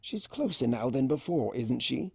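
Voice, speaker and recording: A performer voicing a male chaos creature, a smug and theatrical trickster who teases in drawn-out phrases, for a story reading. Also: medium pitch, big pitch swings, creaky, darkish tone, breathy edge, dry recording, heavily acted. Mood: sly. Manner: drawn-out, theatrical, teasing delivery.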